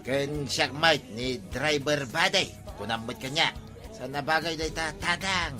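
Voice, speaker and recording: A man's voice: on the high side, unhurried, music in the background, natural voice. A man with an Ilocano accent playing an easygoing, scheming tricycle driver and chummy drinking buddy in a comedy drama. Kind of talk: comedy radio-style drama acting.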